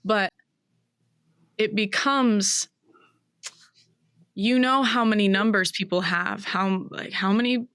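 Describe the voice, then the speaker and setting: A woman in her twenties, a guest in an interview, talking in a thoughtful, searching way with many pauses and restarts. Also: mid-range voice, fairly bright tone, smooth, no room sound, studio quality. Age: 20s. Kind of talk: reflective interview conversation.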